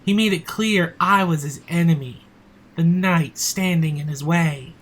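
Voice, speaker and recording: A woman's voice: lowish voice, unhurried. A woman playing a sensible, exasperated crossing guard in a comic audio play, with dry, sarcastic delivery. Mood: earnest.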